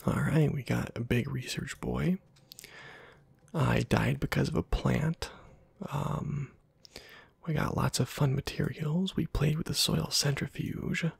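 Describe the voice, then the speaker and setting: A man hosts a gameplay video in a soft ASMR style. His voice is very breathy and husky, often dropping toward a whisper, with heavy vocal fry at a middling pitch. He rambles along in a self-deprecating way. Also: steady tone, slow, no echo. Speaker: host of a gameplay video channel.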